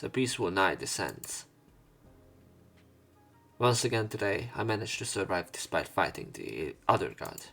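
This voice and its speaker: A man's voice: lowish voice, gravelly, a bit bright. A man doing a let's play, reading a visual novel's narration and dialogue aloud.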